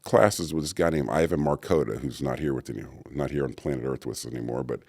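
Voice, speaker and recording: A middle-aged man, an actor and voice actor, telling stories in an interview with a deep, resonant voice and acted-out quotes. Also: quick pace, creaky, darkish tone, no room sound, clean studio sound. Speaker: actor and voice actor guest. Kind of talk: storytelling interview answers.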